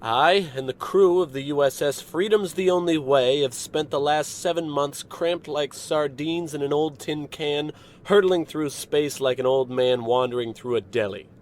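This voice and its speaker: A man's voice: on the high side, moderate pace, slight background noise, lightly acted. A man playing a gung-ho, pompous American spaceship captain in a bombastic, earnest radio serial style. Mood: solemn narration. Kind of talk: 1950s radio serial parody acting.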